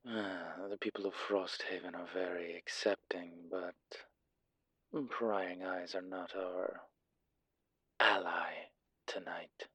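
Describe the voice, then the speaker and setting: An actor playing a suave, wry incubus demon in an intimate audio roleplay, speaking in a teasing, polished, deliberate manner with a husky, breathy voice. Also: male voice, sits low, little pitch movement, sharp tone, no room sound, exaggerated voice. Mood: wry, hushed.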